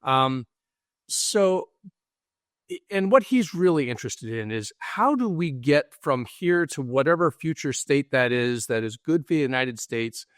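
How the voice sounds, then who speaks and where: A man's voice: medium pitch, lively intonation, unhurried, dry recording, studio quality. A man co-hosting a podcast, asking long, thoughtful questions with a rambling setup. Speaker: podcast co-host. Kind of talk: conversational interviewing.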